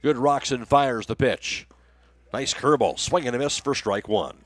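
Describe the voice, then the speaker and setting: An American man works as a radio play-by-play announcer at a baseball game. His voice sits at a middle pitch. The sound is dry, with very little room in it. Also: normal speed, no echo. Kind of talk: live baseball play-by-play commentary. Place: live radio broadcast from a high school baseball game.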